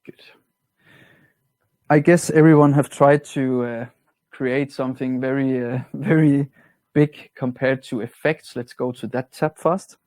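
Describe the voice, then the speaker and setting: Man with a Danish accent, mellow and dry. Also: medium pitch, a little slow, dry recording. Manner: relaxed, plain-spoken, slightly non-native grammar. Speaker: product trainer. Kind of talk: webinar software walkthrough.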